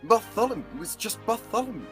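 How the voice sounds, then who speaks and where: A young woman acting a sardonic sentient time-traveling book in radio theatre, with wry, deadpan quips. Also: a little high, brisk. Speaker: student radio theatre actor. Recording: music behind the voice.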